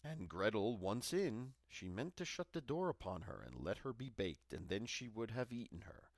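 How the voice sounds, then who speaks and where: A man's voice: lowish voice, very animated, moderate pace, creaky, no echo, studio quality. A man reading a fairy tale as a storyteller, in a dramatic scripted narration.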